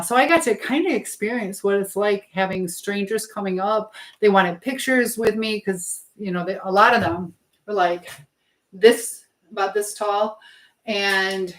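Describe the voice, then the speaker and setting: A woman talks informally to her live-stream audience while working in her kitchen. Her delivery is chatty and meandering, drifting between what she is making and the people in the chat. Her voice sits at an ordinary middle pitch for a woman. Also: a bit bright, rough recording. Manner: chatty, meandering, greets viewers by name. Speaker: livestream host. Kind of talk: casual live-stream chat while cooking, reading out comments.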